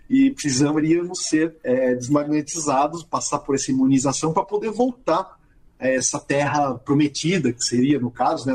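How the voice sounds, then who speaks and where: A man talking as a guest about music history, telling the story in a relaxed way and often adding short tag questions. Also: on the high side, breathy edge. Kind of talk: conversational storytelling.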